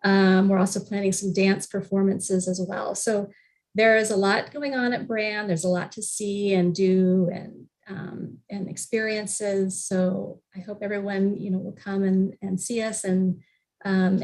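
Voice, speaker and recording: A woman giving informal event announcements in a friendly, halting way, as a representative of an arts venue. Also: medium pitch, level tone, a little mellow, rough recording.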